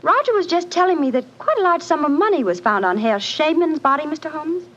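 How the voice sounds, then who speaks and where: An actress playing a sensible, anxious gambler's wife in a 1940s radio drama. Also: female voice, high voice, animated, fairly quick, a bit bright. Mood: polite. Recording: muffled, noisy 1940s radio recording.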